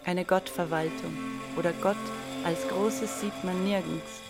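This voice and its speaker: A woman recites literary text for a radio play in a deliberate, declamatory manner. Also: lowish voice, fairly even intonation. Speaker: reader of an inventor's writings. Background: music.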